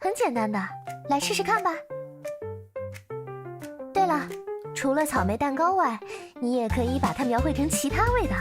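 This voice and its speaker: A woman's voice: high-pitched, sing-song, normal speed, pauses often, over music. A woman voicing a sweet, playful anime girl in a visual novel, with a cutesy, teasing manner. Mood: cheerful, coaxing. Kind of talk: visual novel character acting.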